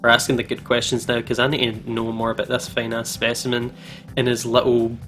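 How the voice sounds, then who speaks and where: A man's voice: medium pitch, monotone, fairly quick, fairly bright tone, faint music behind. A man giving casual, jokey commentary as a let's play host, chatting freely. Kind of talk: casual joking game commentary.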